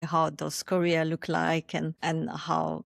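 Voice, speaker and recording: A woman in her thirties who grew up in France, answering interview questions thoughtfully and often pausing to restart her sentences. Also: low-pitched, monotone, creaky, no echo, studio quality. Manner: thoughtful, with frequent restarts and hesitations. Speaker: podcast guest.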